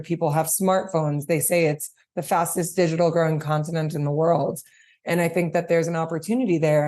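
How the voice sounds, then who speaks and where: Lower-voiced woman in an interview. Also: darkish tone. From New York, United States. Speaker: guest, podcast platform chief executive. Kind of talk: conversational interview answers.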